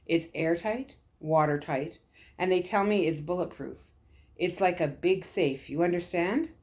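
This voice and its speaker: Woman reading as a gentle, reassuring male lieutenant. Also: lowish voice, unhurried, close to an everyday voice. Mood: hushed, serious. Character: calm, kindly young male military lieutenant, reassuring.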